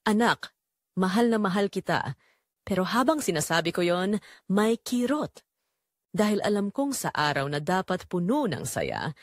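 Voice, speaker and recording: A woman narrating as a guilt-ridden, secretly longing mother in a scripted audio drama, with a measured, confessional delivery. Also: medium pitch, no echo, studio quality. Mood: guilty, wistful.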